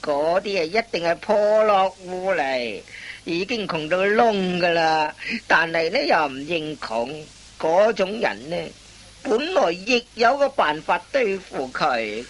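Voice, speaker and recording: A woman playing a veteran master thief, an exasperated mentor who scolds and lectures in drawn-out exclamations in a Cantonese radio comedy. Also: fairly low pitch, expressive. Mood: lecturing.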